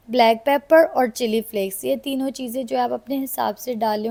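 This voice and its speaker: Slightly hoarse chatty woman with Pakistani Urdu accent. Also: a little high, darkish tone. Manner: chatty, addresses viewers directly. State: slightly hoarse from a sore throat. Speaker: vlogger. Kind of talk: casual cooking vlog voice-over.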